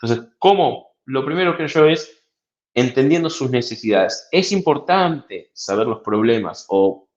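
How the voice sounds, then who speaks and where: A man's voice: mid-range voice, expressive, unhurried, dull audio. A man from Argentina with an Argentine Spanish accent gives a reflective conference talk, with many fillers and restarts. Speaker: conference speaker.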